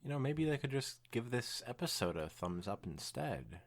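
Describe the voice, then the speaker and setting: A man's voice: medium pitch, sing-song, normal speed. A man co-hosting a casual podcast, reading a story aloud and making dry, teasing remarks. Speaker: podcast co-host.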